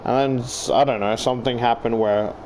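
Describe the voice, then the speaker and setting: A man giving casual, rambling game commentary, halting and swearing freely as he talks. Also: medium pitch, rough recording. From Australia. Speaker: YouTuber playing and reading a visual novel. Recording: clear steady air-conditioner background noise.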